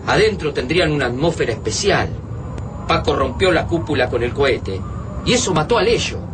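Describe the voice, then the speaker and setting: An actor with an Argentine accent playing an analytical, urgent resistance survivor in a radio drama. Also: male voice, on the high side, lively intonation, a little fast, natural voice. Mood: excited realisation. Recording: clearly noisy background ambience.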